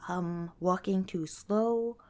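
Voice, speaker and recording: A woman playing a friendly, protective young wanderer in an ASMR roleplay, chatting casually and gently with hesitant pauses and small chuckles. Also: medium pitch, natural voice. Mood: chatty, wistful. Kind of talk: ASMR roleplay, casual one-sided dialogue.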